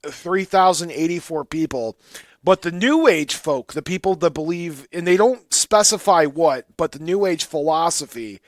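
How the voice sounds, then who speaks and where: A man hosts a casual call-in radio show in a fairly high voice. He banters and speaks emphatically, breaking into his own sentences. The sound is dry and close. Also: moderate pace, no echo. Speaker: radio talk show host. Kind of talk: casual call-in show chat about the paranormal.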